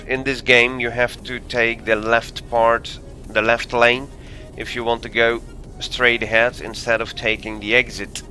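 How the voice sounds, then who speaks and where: Slow-talking man streaming a game. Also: fairly low pitch, sharp tone. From Belgium. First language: Dutch. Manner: thinking aloud, easygoing, frequent ums and uhs. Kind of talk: live gameplay commentary.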